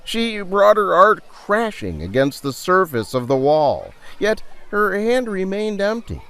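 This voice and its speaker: A man narrating a dramatic audio story in a theatrical, deliberate and suspenseful way. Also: sits high, wildly swooping pitch, fairly bright tone, smooth. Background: music.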